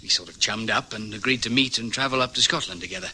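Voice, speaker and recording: A man's voice: mid-range voice, quick pace, sharp tone, slight background noise, low-quality recording. An actor playing an earnest, anxious young man newly arrived from Australia, polite and concerned, in a vintage radio drama. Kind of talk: 1950s-60s radio drama acting.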